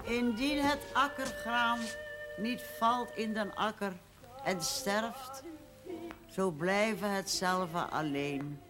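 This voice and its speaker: An actress playing a sharp, curious old aunt in a television period drama. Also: female voice, mid-range voice, lively intonation, takes it slowly, music in the background. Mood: solemn, teasing. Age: older.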